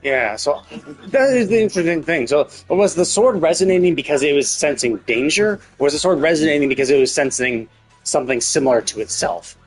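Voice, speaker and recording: A man's voice: fairly high pitch, animated, brisk, slightly rough, rough recording. Rambling man livestreamer over background music. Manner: rambling, thinking aloud, repeats words. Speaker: game livestreamer. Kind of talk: casual livestream commentary, speculating.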